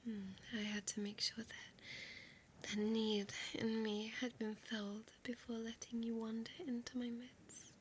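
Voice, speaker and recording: Woman acting as female vampire, breathy whisper, unhurried, possessive, tender, seductive, ASMR roleplay, faint background noise. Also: fairly high pitch, flat delivery, close to an everyday voice. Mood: fond, reassuring. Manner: slow, intimate, coaxing, formal old-fashioned phrasing.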